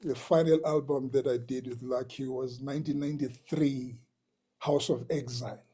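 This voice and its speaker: Husky older man telling slow stories. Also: medium pitch, steady tone, dark tone. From Tanzania. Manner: slow, hesitant, with many uhs and repeats. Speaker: interview guest, musician. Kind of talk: relaxed storytelling.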